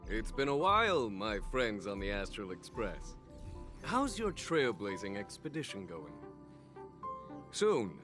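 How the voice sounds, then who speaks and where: A man voicing a courteous, composed commander in a video game, speaking in a formal, ceremonious manner. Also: fairly low pitch. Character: courteous, composed male commander, gracious and stately.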